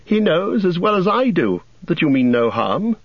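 An earnest young hobbit gentleman, gentle but firm, with a husky, expressive voice, as a male reader puts him on. The sound is dry and a bit dull. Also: sits high, moderate pace, no room sound, pitched above the performer's own voice, full character voice. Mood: firm kindly.

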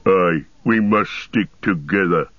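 A man voicing a huge, domineering orc commander in a deep growl, blunt and boastful, in a storybook reading. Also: takes it slowly. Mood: boastful, scornful. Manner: blunt, commanding, emphatic declarations. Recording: roomy, dull lo-fi sound.

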